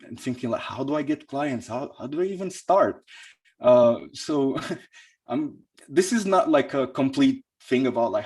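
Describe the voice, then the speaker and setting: Young guy from portugal giving a tech talk, lots of ums and restarts, mid pitched voice. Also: darkish tone, breathy edge. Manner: hesitant, many fillers and restarts. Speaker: conference speaker. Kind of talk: conference talk.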